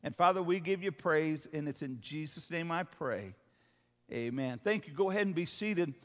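High-pitched older pastor in a reverberant hall. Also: male voice, animated, normal speed. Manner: folksy, emphatic, seeks amens from listeners. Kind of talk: sermon to a congregation. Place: church hall, speaking from the stage.

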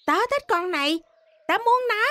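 Eager, high bright cartoon boy. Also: lively intonation, normal speed, full character voice. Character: eager, friendly young boy dinosaur trainer. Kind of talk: animated children's cartoon dub.

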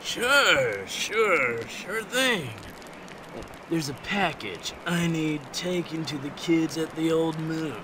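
An actor playing a shady, jittery hippie drug dealer who rambles and repeats himself in stoner slang for a video game. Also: male voice, on the high side, expressive, takes it slowly, noisy background. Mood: placating, shifty. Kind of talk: video game cutscene acting.

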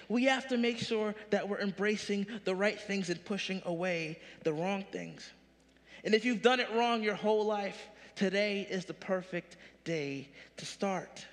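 Pastor, 30s, high-pitched male voice, animated sermon delivery, big reverberant hall. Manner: animated preaching, rising punchy questions. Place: large church stage.

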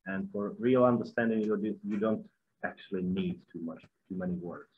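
A man's voice: low voice, normal speed, slight background noise. A middle-aged man with a Czech accent, a musician talking earnestly as a guest on a webinar video call, repeating words as he goes. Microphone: video call laptop mic. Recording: dull lo-fi video call audio.

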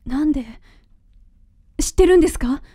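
A woman's voice: high-pitched, normal speed, dark tone, lightly acted. An actress playing a polite, bewildered fiancée in her twenties in a radio drama. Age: 20s. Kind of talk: Japanese radio drama acting.

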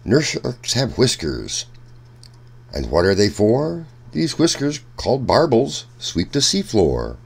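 A man reading a rhyming children's book aloud in a deliberate, sing-song storytelling manner. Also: mid-range voice, wildly swooping pitch, vocal fry, husky.

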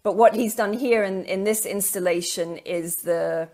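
A woman's voice: medium pitch, unhurried, a little mellow. A middle-aged woman giving an academic lecture on art over slides, thoughtful and hesitant, with many ums and repeated words, on a video call. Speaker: keynote lecturer.

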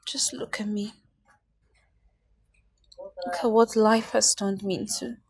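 An actress playing a grieving young mother who is crying, speaking in a breathy, whispery, halting way. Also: mid-range voice, fairly even intonation. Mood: despairing. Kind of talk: film drama acting.

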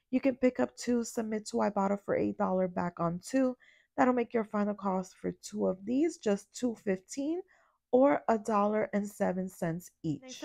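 A young woman with a raspy, fading voice after a cold, giving a casual voiceover for her couponing shopping vlog. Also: mid-range voice, darkish tone, no room sound, clean studio sound. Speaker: couponing vlogger. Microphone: voiceover mic.

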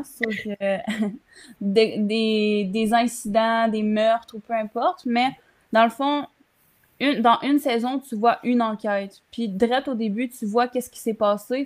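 A woman in her twenties with a Québécois French accent, chatting casually on a video call from a room at home. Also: a little high. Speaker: talk show panelist. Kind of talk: casual chat. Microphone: computer mic on a video call.

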